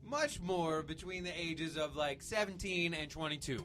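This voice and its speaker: A man's voice: fairly high pitch, lively intonation, normal speed, sharp tone. A man of about thirty talking casually on a group radio show. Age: around 30. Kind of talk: casual chat.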